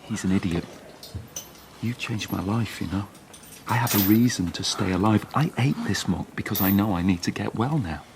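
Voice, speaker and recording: An actor playing an introspective, lovestruck middle-aged secret rebel in a radio drama, speaking in an earnest, brooding way. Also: male voice, fairly low pitch, moderate pace, noisy background, natural voice. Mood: earnest, smitten. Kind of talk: radio drama acting and narration. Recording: loud music behind the voice.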